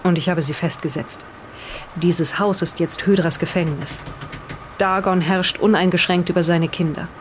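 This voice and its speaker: Someone voicing an earnest, defensive island resident in an improvised radio play, speaking deliberately as if reading aloud. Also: mid-range voice, fairly even intonation, a little hiss or hum, lightly acted. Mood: solemn reading.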